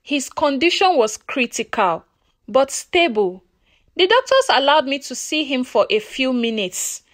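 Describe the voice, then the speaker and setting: Nigerian woman, high voice, dramatic folktale narration, animated pitch, emotive and deliberate, dry close sound. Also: no room sound. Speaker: storyteller.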